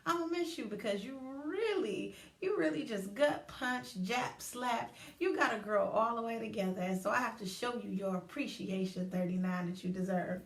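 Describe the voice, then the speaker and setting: A woman's voice: a little high, normal speed, few pauses. A woman, hosting her own show and chatting casually and animatedly on a livestream. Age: 30s. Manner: animated, chatty, acts out inner voices. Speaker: host. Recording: roomy echo, distant from mic.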